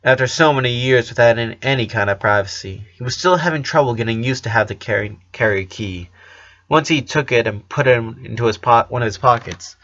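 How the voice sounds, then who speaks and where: A man's voice: medium pitch, a little fast, fairly bright tone, highs cut off. A man narrating a story aloud, now and then stumbling over his words. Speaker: fan fiction narrator.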